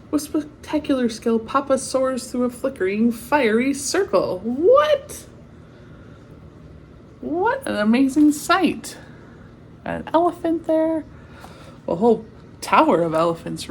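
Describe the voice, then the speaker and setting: A woman's voice: fairly high pitch, slight background noise. A woman reading a children's picture book aloud expressively, with chatty asides and exclamations of wonder. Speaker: read-aloud storyteller.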